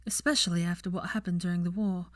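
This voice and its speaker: A woman's voice: lowish voice, steady tone, fast, dry recording, studio quality, close to an everyday voice. A woman voicing an earnest, bookish young witch in an audiobook, with an airy, polite manner. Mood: mildly exasperated. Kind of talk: audiobook character voice.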